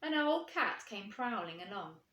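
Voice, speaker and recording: A woman reading a storybook to children, expressive and putting questions to her young listeners. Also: mid-range voice, measured pace. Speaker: primary school teacher reading aloud. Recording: echoey room.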